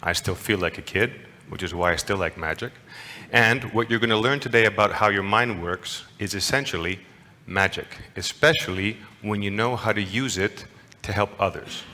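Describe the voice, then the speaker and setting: A man's voice: lowish voice, moderate pace, hardly any pauses, sharp tone, slight background noise. Playful man lecturing in a reverberant hall. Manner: playful, engaging, asks the audience questions. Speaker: conference lecturer. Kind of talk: interactive lecture to an audience.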